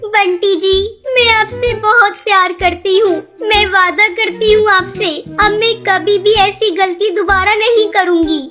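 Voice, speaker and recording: A woman voicing a sweet, naive young female bird in a cartoon story, with a slow, childlike delivery and drawn-out words. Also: very high-pitched, steady tone. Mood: pleading. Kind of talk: Hindi cartoon story dialogue. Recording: music behind the voice. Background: music.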